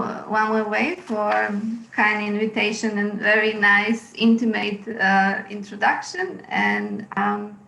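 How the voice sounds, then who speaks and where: A woman's voice: medium pitch, a bit bright, echoey room, rough recording. A woman, giving a hesitant webinar presentation as a curator over a video call. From Yugoslavia. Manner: hesitant, with frequent ums and uhs. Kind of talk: webinar presentation, partly read. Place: room at home.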